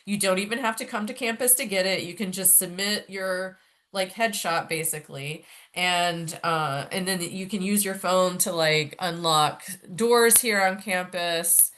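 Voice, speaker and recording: A lower-voiced woman with a head cold, chatting loosely with lots of ums. She hosts a casual panel over a video call. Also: unhurried, fairly bright tone. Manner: chatty, rambling, many fillers. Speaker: orientation host, graduate life staff. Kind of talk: casual virtual panel chat.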